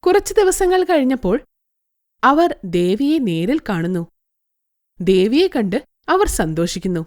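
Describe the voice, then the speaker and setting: A woman's voice: on the high side, big pitch swings, a little slow, a little mellow. A woman narrating a scripted moral story as a storyteller.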